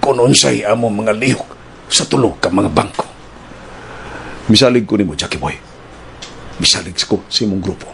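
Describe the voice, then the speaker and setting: A man's voice: fairly low pitch, moderate pace, vocal fry, pauses often, noisy background. A man with a Cebuano Filipino accent playing a domineering, suspicious congressman in a radio action drama. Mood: scheming, commanding. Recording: radio drama with music bed.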